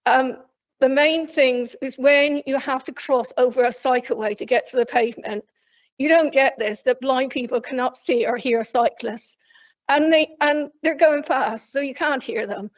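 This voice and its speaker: A woman from Cornwall speaking loudly and plainly from memory to a council committee, rambling with frequent restarts. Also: high-pitched, moderate pace. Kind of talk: unscripted address from memory. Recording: dull lo-fi council meeting recording.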